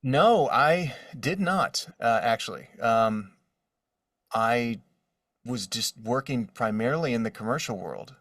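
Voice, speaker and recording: A man's voice: mid-range voice, lively intonation, takes it slowly, fairly bright tone, studio quality. A man chatting casually as an interview guest, answering questions about his working life as a voice actor. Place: indoor room with drawings behind him. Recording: roomy, distant mic.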